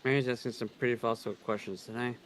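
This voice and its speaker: A man's voice: medium pitch, steady tone, rapid-fire. A man co-reading a visual novel on a livestream, moving between read lines and casual commentary. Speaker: co-reader on a game livestream.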